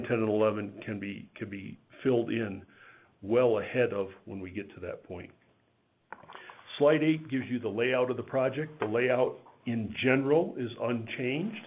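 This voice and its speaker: A man giving a measured, matter-of-fact business presentation as a company executive on a conference call, over a phone line. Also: mid-range voice, creaky, husky. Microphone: telephone conference line. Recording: tinny, band-limited phone line.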